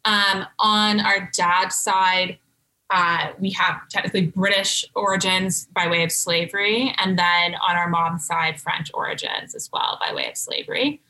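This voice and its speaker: A woman's voice: on the low side, steady tone, measured pace, bright, forceful tone, low-quality recording. A young Canadian woman interviewed as a guest, answering in composed, articulate full sentences. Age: young adult. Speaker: guest, brand co-founder.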